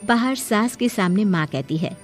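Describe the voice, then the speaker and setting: A woman's voice: medium pitch, animated, normal speed, soft background music. A woman giving scripted narration for a moral story.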